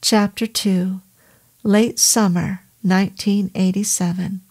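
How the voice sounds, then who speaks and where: A woman reads a horror novel aloud at a slow, deliberate pace. Her voice sits at an ordinary middle pitch, and she keeps the telling steady and even. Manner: slow, measured, even reading. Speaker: audiobook narrator. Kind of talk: scripted horror novel narration.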